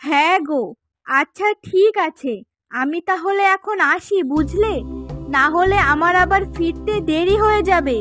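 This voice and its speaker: A woman's voice: very high-pitched, a little slow, fairly bright tone, soft background music. A woman voicing a chatty, eager village fishwife with a coaxing, folksy manner in a fairy tale cartoon. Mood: pleased, chatty.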